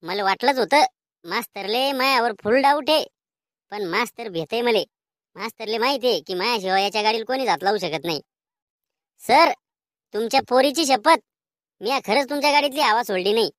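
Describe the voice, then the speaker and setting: A woman's voice: on the high side, animated, normal speed, long pauses, no echo, studio quality, lightly acted. A woman voicing a cheeky, quarrelsome village schoolboy in a comedy cartoon, with rural Maharashtrian slang and a taunting, argumentative way of talking. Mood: defensive.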